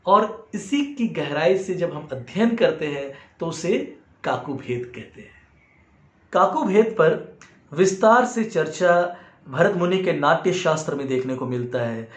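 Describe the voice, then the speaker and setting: A man with an Indian accent giving an explanatory lesson in a measured way as a music teacher. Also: fairly high pitch. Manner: measured, demonstrates points with acted example phrases. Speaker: music teacher and video host. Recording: roomy, echoing sound.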